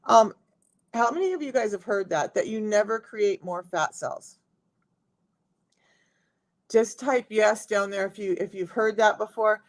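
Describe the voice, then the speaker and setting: A middle-aged woman with a raspy voice, chatting casually to viewers on a live stream as a health coach. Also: medium pitch. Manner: chatty, talks straight to viewers.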